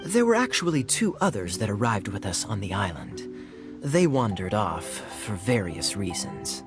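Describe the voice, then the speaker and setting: A man's voice: lowish voice, sing-song, moderate pace. A man playing a suave, smug young knight in a video game, easygoing, playful and insinuating in manner. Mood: teasing. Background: background music.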